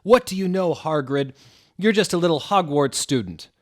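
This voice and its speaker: Man doing a shouting, high-strained old wizard headmaster. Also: lively intonation, normal speed, close to an everyday voice. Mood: scornful. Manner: loud, overwrought, shouting. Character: alarmed, blustering old male wizard headmaster.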